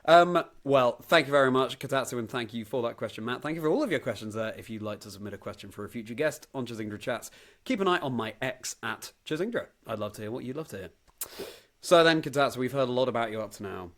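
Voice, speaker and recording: A man's voice: mid-range voice, fast, a bit bright. A man hosting an interview chat, speaking politely and appreciatively in wordy, encouraging turns. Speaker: interview show host.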